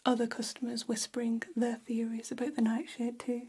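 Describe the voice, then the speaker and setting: A woman's voice: fairly high pitch, completely flat delivery, brisk, dark tone. A woman whispering as an elegant, gossipy female vampire hairstylist in an ASMR role-play, confiding and soothing, close to the microphone. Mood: soft, admiring. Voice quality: breathy, whispered.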